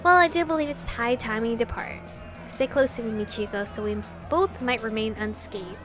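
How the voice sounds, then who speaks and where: A woman voicing an aloof, sardonic male vampire with a measured, formal, mocking manner, read from a video game. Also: a little high, over music, natural voice. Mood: unruffled.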